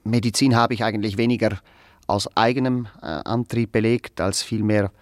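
An actor playing a self-important, evasive educated musician in a radio drama, pedantic and hesitant. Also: male voice, fairly low pitch, fairly even intonation, normal speed, dry recording, studio quality, lightly acted. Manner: pedantic, hesitant, long-winded. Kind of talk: naturalistic radio drama dialogue.

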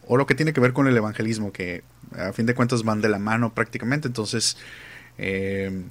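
A man interviewing a guest in a recorded online chat, relaxed and hesitant. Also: lowish voice. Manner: relaxed, hesitant, many fillers.

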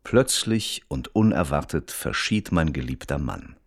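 A detached crime reporter narrating a radio play. The voice is extremely deep and creaky, with lively intonation. The delivery is formal, scripted and matter-of-fact. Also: male voice, a little slow, no echo, studio quality, heavily acted.

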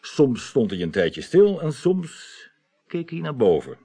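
An actor playing a dutiful, deferential detective giving a meticulous report in a 1980s Dutch radio drama. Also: male voice, mid-range voice, wildly swooping pitch, takes it slowly, warm tone, slightly rough, exaggerated voice. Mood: earnest, precise.

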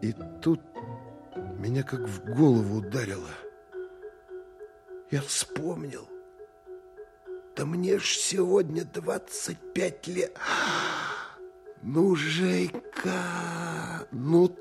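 Gravelly, wry young army scout. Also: male voice, a little high, very slow, pauses often, darkish tone, full character voice. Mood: wry, agitated. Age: 20s. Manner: colloquial, musing to himself. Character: wry, emotional 25-year-old counterintelligence scout recounting a stakeout. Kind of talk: dramatic first-person monologue. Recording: music behind the voice.

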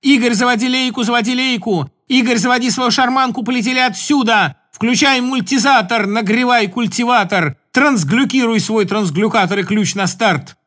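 A man's voice: extremely high pitch, normal speed, hardly any pauses, fairly bright tone. A man voicing a passionate young woman chanting a long, rhythmic lament to her beloved. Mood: fevered.

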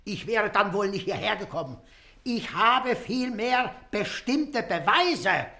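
A man's voice: sits high, very animated, slow, pushed and bright, full character voice. An actor in a German radio play playing an indignant old man, querulous and stammering as he repeats himself. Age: older. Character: excitable, indignant old man demanding his rights.

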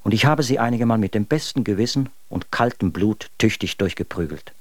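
Low-voiced, scornful, embittered husband. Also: male voice, expressive, brisk, no echo. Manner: literary, scornful. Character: bitter, contemptuous husband writing letters about his wife. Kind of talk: dramatic reading of old letters in a radio play.

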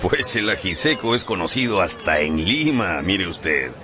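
An actor playing a boastful, sly rival gamecock owner, politely provocative, in a radio drama. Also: male voice, deep voice, sing-song, a little slow, a crowd in the background. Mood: taunting. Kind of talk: Spanish-language radio drama acting.

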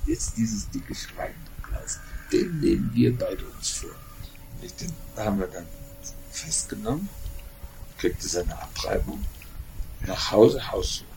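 A man's voice: lowish voice, sing-song, takes it slowly, creaky, pauses often, a little mellow, full character voice, poor audio. A man playing a boastful former policeman, telling colloquial anecdotes and acting out the exchanges in them. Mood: gleeful. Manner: colloquial, acts out quoted exchanges. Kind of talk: animated spoken reminiscence. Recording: noticeable background noise and ambience.

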